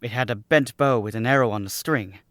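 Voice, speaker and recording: An actor playing a breezy, incredulous gentleman heir in a theatrical old-time radio suspense drama. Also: medium pitch, fairly quick, no echo, studio quality, close to an everyday voice. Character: breezy, skeptical gentleman who inherited a castle.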